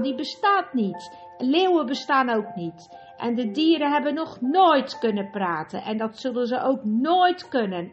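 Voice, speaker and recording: A harsh, booming king with a cold, commanding edge, put on by a woman reading aloud. He scolds slowly and loudly, his voice slightly raised. The recording is dull and lo-fi, with faint music underneath. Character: stern, cold-hearted king, a grown man.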